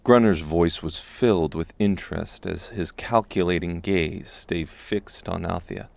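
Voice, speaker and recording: A man reading scripted dark fantasy narration as an audiobook narrator. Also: sits low, a little slow, gravelly, no room sound.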